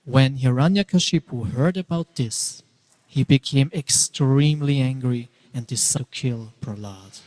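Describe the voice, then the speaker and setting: A man's voice: mid-range voice, a little slow, darkish tone. A man giving dramatic scripted narration of a scripture story as the narrator. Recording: long hall reverb.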